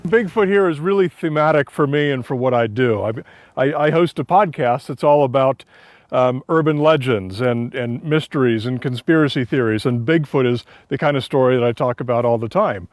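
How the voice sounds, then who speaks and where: An American man giving a casual interview, with halting, hesitant phrasing. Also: medium pitch. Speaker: podcaster being interviewed.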